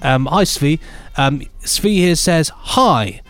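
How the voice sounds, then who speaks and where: A man's voice: on the high side, expressive, slow pace, fairly bright tone, no echo. A man co-hosting a podcast, joking around in casual chat and reading listener emails aloud. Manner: playful, rambling, giggly. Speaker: podcast co-host.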